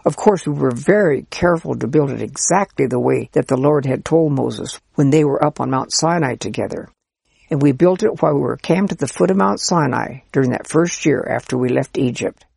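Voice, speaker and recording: A gentle, patient old priest , as a storybook reader puts him on, explaining things kindly and earnestly. His voice is husky with a gravelly edge. The recording is close and dry. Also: medium pitch, brisk, dry recording. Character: kind, patient old male Israelite priest. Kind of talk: storybook character reading.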